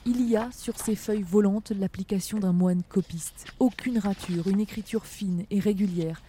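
Female reporter, warm mellow voice, measured scripted narration. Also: medium pitch, slight background noise. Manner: measured, literary.

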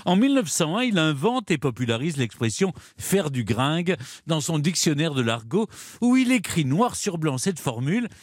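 A man's voice: a little high, big pitch swings, moderate pace. A man giving a playful history segment on morning radio, amused and teasing in his banter. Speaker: history columnist. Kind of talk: radio chronicle, playful storytelling. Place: radio studio.

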